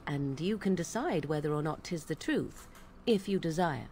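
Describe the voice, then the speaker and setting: An aloof young witch of the wilds in a fantasy game, with a slightly low voice that moves freely up and down. She speaks in formal, archaic phrases with sardonic poise, over faint noise. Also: expressive, darkish tone. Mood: measured storytelling. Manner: archaic, formal, measured storyteller's diction. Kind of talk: dramatic video game voice acting.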